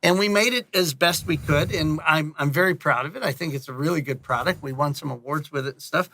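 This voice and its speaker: A man talking casually as an interview guest, in an animated, rambling and jokey way, often interrupting himself. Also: fairly high pitch, brisk, poor audio. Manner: casually profane. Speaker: interview guest, audio drama director and producer.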